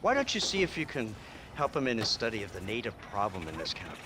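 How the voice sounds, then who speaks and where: An actor playing a stern government agent who barks clipped orders and speaks down to others in a game cutscene. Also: male voice, lowish voice, wildly swooping pitch, fast talker, background noise. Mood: patronizing. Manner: clipped orders, curt, patronizing. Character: stern male government agent, commanding and condescending. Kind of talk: video game cutscene acting.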